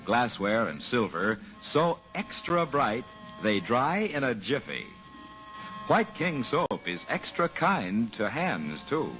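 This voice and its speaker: A man announcing an old-time radio sponsor pitch in an emphatic, salesmanlike manner. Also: pushed and bright. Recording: muffled old radio broadcast. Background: music.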